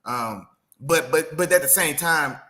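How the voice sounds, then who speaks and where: A man co-hosting a casual sports podcast, animated and opinionated, often saying "you know what I'm saying.". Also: on the high side, unhurried.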